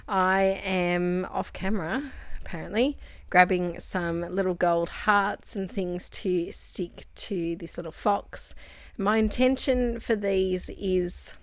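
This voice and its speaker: A woman from Australia chatting casually while making crafts, rambling and correcting herself, her voice a little croaky. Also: on the low side, dry recording. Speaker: crafting vlogger.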